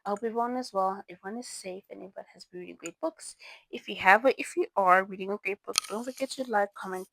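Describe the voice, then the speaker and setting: A woman's voice: mid-range voice, fast, creaky, fairly bright tone, slight background noise, poor audio. A young woman, not a native English speaker, hosting a casual book review vlog and rambling through her picks with self-corrections and laughs. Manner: chatty, rambling, self-correcting, giggly asides. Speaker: book reviewer, channel host.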